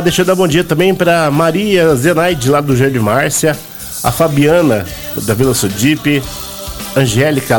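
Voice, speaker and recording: A man hosting a radio show, nasal from a cold, chatty as he reels off greetings to listeners. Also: a little high. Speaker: radio host. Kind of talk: casual radio shout-outs.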